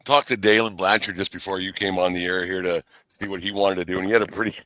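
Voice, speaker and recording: A man's voice: sits low, fairly even intonation, quick pace, sharp tone, no echo, rough recording. A man hosting a casual sports interview, joking, teasing and rambling as he chats with his guest. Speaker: sports podcast host.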